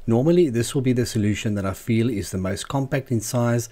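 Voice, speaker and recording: A man narrating a scripted how-to tutorial as the channel's host, in a measured, explanatory manner. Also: mid-range voice, mellow. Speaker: host of a DIY loudspeaker channel.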